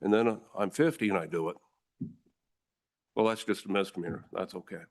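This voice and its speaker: Deep-voiced american guy, prosecutor type, testifying to lawmakers, firm and earnest. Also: fairly quick. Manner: forceful, earnest, addresses the room as ladies and gentlemen. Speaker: district attorney testifying. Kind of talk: formal testimony to a legislative committee.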